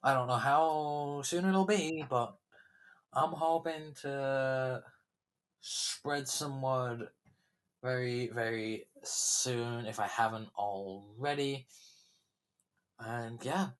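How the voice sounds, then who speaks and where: A man's voice: medium pitch, very slow. A young man talks loosely on his own podcast, drifting from thought to thought with frequent fillers and restarts. His throat sounds slightly sore as he goes on. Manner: rambling, chatty, many fillers and restarts. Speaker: podcast host. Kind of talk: casual solo podcast chat.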